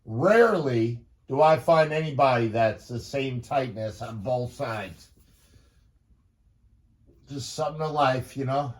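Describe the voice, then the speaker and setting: A man's voice: medium pitch, animated, slow pace. Casual, encouraging man in his fifties. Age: 50s. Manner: informal, blunt, encouraging, mild slangy swearing. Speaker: fitness vlogger. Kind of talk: casual motivational talk to camera during a morning workout.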